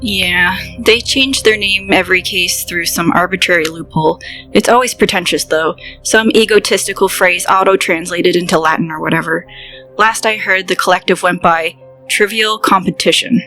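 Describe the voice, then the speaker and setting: An actress playing a self-assured woman in a fantasy audio drama who talks crisply and with dry pride. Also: on the low side, normal speed, bright, forceful tone, soft background music, poor audio. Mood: disdainful. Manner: crisp, commanding, dryly proud. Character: brisk, self-assured woman guild organizer.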